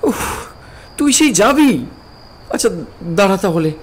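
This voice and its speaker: A man's voice: extremely high pitch, very animated, unhurried, heavily acted. A man voicing an anxious, loving younger brother who pleads with his older brother to be careful. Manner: pleading, worried and affectionate. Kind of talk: Bengali cartoon voice acting.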